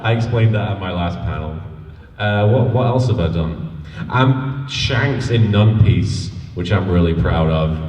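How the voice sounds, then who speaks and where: A man's voice: deep voice, animated, a little slow, darkish tone. A man, a voice actor joking playfully in casual banter on a live convention panel. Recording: echoey hall, distant microphone.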